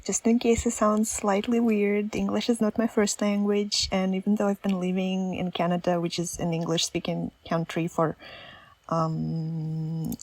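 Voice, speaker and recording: A woman with a Russian accent, a native Russian speaker, narrating a nail art ASMR voiceover in a soft, self-deprecating, chatty way. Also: mid-range voice, no room sound, poor audio.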